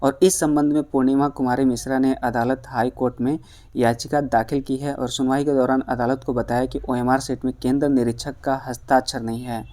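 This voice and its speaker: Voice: mid-range voice, level tone, fairly quick, dark tone. News presenter explaining updates. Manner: addresses listeners as friends. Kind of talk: explanatory news commentary for online viewers.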